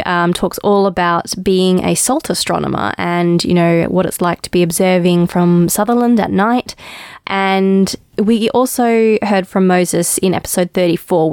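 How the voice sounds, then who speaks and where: A woman's voice: on the low side, gravelly, no room sound, clean studio sound. A woman in her thirties with an Australian accent, co-hosting a casual podcast chat and talking in a chatty way full of ums. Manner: chatty, filler-heavy with frequent ums. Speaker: podcast co-host.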